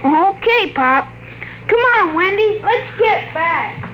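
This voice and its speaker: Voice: very high voice, animated, slow pace, bright, forceful tone, soft background music, heavily acted, rough recording. A performer playing a spoiled, defiant young American boy in a 1950s radio drama. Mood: sullen, defiant. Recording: vintage radio recording, faint noise.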